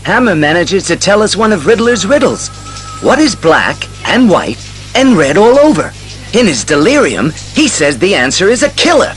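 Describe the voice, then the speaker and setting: A man's voice: sits high, very animated, normal speed, fairly bright tone, soft background music. A man playing an eager young male crime-fighting sidekick in a superhero audio drama. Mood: earnest, eager.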